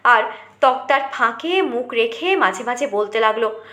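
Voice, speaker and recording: A woman's voice: a little high, expressive, measured pace, pushed and bright, reverberant. A woman reading a short story aloud as dramatic scripted narration.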